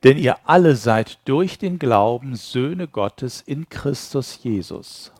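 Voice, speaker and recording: A man's voice: mid-range voice, expressive, takes it slowly, a little mellow, no echo. A man interpreting a sermon live, rendering it phrase by phrase after the preacher.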